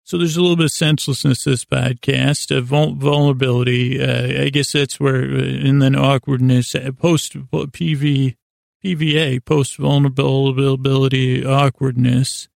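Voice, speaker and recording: A man with a gravelly voice hosting a bedtime podcast, talking in a hesitant, meandering way, stumbling over words and drifting off into tangents to lull listeners to sleep. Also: medium pitch, level tone, normal speed, no echo, studio quality. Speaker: podcast host. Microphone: close studio mic.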